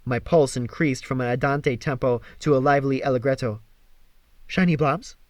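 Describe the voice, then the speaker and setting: A man narrating a story from a script in an expressive, theatrical way. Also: mid-range voice, a little fast, no room sound, studio quality. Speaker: amateur audiobook reader.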